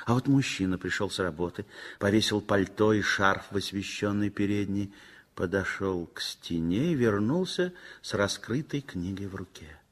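Husky male radio-play narrator, lively delivery. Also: fairly low pitch. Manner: measured, expressive storytelling. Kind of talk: scripted literary narration, 1960s radio theatre.

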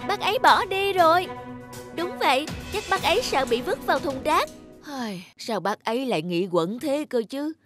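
A woman voicing a fretful, excitable household object in a cartoon, anxious and emphatic. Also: sits high, moderate pace, over music. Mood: alarmed. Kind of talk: dubbed cartoon dialogue.

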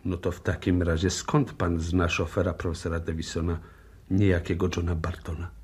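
An actor playing a calm, courteous, probing police inspector in a 1960s-style Polish radio drama, with measured questioning. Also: male voice, low-pitched, breathy edge. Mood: probing, polite. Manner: polite, measured, hesitant questioning.

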